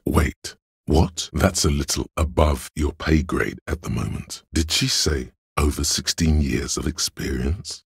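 An extremely low, creaky voice of pure logic, narrating inside a detective's head in a video game. It is husky and deliberate, coolly deductive and a little condescending. The sound is close and dry. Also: male voice, dry recording, full character voice. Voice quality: gravelly, husky. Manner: measured, deliberate, dryly analytical. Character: detective's inner voice of logic, cool, cerebral, condescending. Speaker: voice actor.

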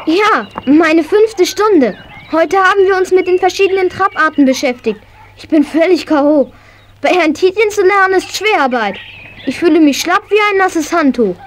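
A woman's voice: very high voice, animated, normal speed, slight background noise. A female actor playing a spirited, eager young horse-mad girl in a 1980s children's audio drama, pert and exclamatory. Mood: exhausted, pleased.

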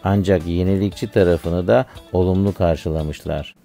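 A man plays an earnest cartoon schoolboy reciting lesson facts, though the voice is deep and mature. It is mellow and creaky, in a scripted style. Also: fairly even intonation, normal speed, faint music behind. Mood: earnest, informative. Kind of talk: scripted educational dialogue.

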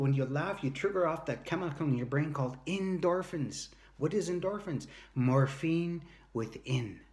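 A man's voice: on the high side, a little mellow. A man in his early fifties giving an earnest, emphatic motivational talk, telling anecdotes straight to the camera. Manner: earnest, emphatic, anecdotal, acts out short quoted exchanges. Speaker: motivational speaker and workshop leader. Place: indoors, home room with sloped ceiling. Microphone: phone or device at arm's length.

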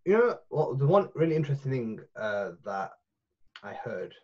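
Man, forties, rambling with restarts, husky voice, muffled call audio. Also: mid-range voice, very animated, moderate pace, a little mellow. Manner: rambling, full of restarts and fillers. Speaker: podcast guest. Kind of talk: casual podcast chat. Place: small room at home. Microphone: video call.

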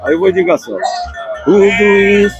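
A man's voice: high-pitched, fairly quick, lo-fi sound, soft background music. A middle-aged man, a cowboy chatting casually at an outdoor livestock market. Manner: relaxed, short agreeing replies. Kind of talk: casual chat. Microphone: handheld mic held by interviewer.

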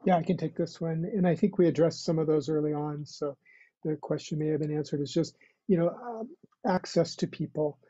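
Older man mentor, very dark husky voice, relaxed and musing, dull dry call audio. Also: a little high, a little fast, no room sound. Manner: relaxed, thinks aloud with "you know". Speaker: program mentor answering questions. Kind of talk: casual explanation on a video call.

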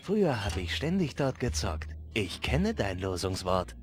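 Sly robot fence, animated. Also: male voice, lowish voice, unhurried. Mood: bargaining. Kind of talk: acted video game cutscene dialogue.

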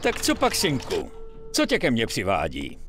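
A man's voice: medium pitch, wildly swooping pitch, over music. A man voicing a chatty, folksy older gun dealer with a rambling, familiar manner in a Czech game dub. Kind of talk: Czech video game dubbing.

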